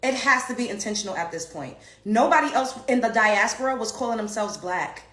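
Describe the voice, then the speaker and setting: A woman's voice: fairly high pitch, animated, fairly quick, fairly bright tone. Blunt, profane New woman ranting. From Brooklyn, New York. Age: 30s. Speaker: online commentator and comedian. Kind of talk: heated ranting commentary. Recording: roomy echo.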